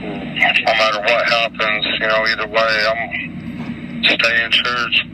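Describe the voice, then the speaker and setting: A middle-aged American man from Utah giving informal interview answers in a halting, repetitive, folksy way. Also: on the low side, monotone, background noise. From Utah, United States.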